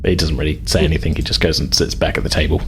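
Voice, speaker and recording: A man narrating a tabletop game as game master, improvising in a casual, halting way. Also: extremely low pitch, level tone, slight background noise.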